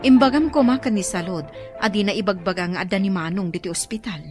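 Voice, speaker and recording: An actress playing a firm, protective sister who gives instructions in an Ilocano radio drama, with an Ilocano accent. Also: female voice, mid-range voice, quick pace, music in the background, close to an everyday voice.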